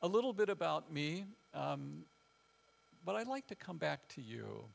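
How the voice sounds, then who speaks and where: An older American man with a Midwestern accent and a gravelly voice, giving an informal storytelling talk in a halting, reflective way with frequent pauses. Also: fairly high pitch, big pitch swings. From west central Illinois, United States. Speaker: guest speaker, family counselor. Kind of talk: informal presentation with personal storytelling. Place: large church hall with wooden floor, small seated audience.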